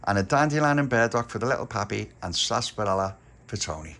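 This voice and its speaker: A man's voice: lowish voice, animated, quick pace, fairly bright tone. A man voicing a chatty, joking shopkeeper. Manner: chatty, teasing, storytelling. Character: chatty joking male corner-shop keeper.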